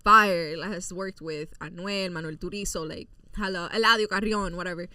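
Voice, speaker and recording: Young woman guest, lively storyteller, bright tone, slightly off-mic dry room. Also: fairly low pitch, normal speed, no echo, recorded from a distance. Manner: animated storyteller, acts out quotes, heavy Spanglish. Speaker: podcast host, guest. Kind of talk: casual chat.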